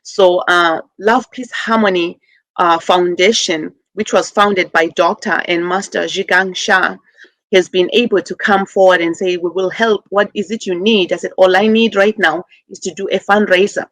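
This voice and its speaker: A middle-aged woman from Zimbabwe, a guest on a talk show, speaking earnestly with many pauses and fillers as she appeals for help. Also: on the low side, steady tone, fairly bright tone, dry recording. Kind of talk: call-in interview.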